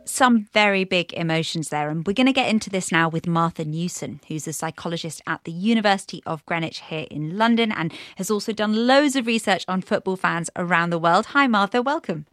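A woman hosting a radio news podcast, presenting in a bright, conversational way from a studio. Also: lowish voice, lively intonation, brisk, few pauses, no room sound. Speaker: podcast host.

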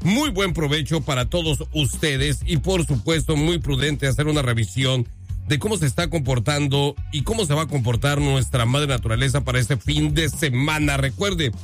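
A man hosting a live radio show, speaking upbeat and emphatic with courteous, drawn-out announcer phrasing. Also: fairly high pitch. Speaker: radio host. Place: radio studio. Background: music playing under the voice.

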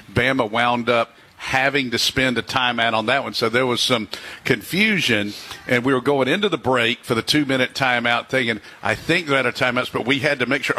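A man's voice: medium pitch, pushed and bright, husky. A man hosting a call-in sports radio show, folksy and upbeat, taking callers and chatting with co-hosts. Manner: folksy, upbeat, reads ad copy. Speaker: radio sports talk host.

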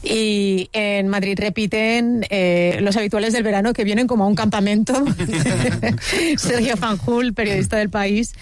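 A woman's voice: medium pitch, a little slow. A woman with an accent from Spain hosting a live radio talk show. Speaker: radio host.

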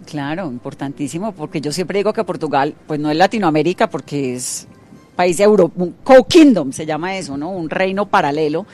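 A woman's voice: low voice, lively intonation, brisk, a little mellow, faint music behind. A woman with a Colombian accent hosting a radio interview in an effusive, chatty, informal way.

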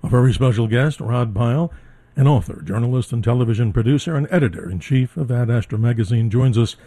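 Husky, mellow radio host in his seventies. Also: mid-range voice, fast talker, no echo. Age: 70s. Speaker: radio talk show host. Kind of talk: hosting a call-in show.

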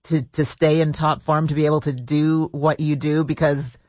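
A man's voice: on the high side, little pitch movement, normal speed, darkish tone, no echo, muffled recording. A man hosting a radio interview, asking friendly leading questions. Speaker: radio host.

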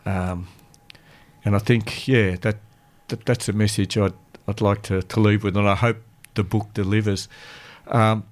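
A man who is a guest land carer, speaking earnestly in a radio studio, halting and restarting his sentences. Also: lowish voice, fairly even intonation. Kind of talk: radio interview talk.

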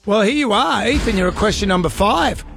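Man, radio host, middle-aged, jokey banter, slightly high voice, music faint behind. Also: expressive, fairly quick, vocal fry, a bit bright. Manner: jokey, chatty, laughs often. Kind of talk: drive-time radio banter.

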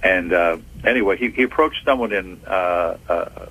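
An older man, a retired agent, telling his career story in an interview, measured and reminiscing, with frequent pauses. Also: low voice, fairly bright tone, slightly rough, poor audio.

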